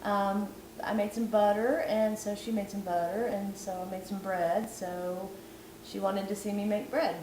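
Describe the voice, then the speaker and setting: A woman's voice: medium pitch, little pitch movement, unhurried. A woman who is losing her voice gives a chatty, self-deprecating cooking tutorial at home. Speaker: vlogger. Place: home kitchen at night. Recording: echoey kitchen, far from camera, background noise.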